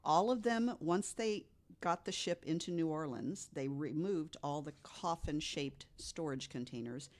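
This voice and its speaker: A woman telling stories conversationally as a podcast guest, with deliberate, emphatic phrasing. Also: low-pitched. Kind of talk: conversational storytelling of ghost stories.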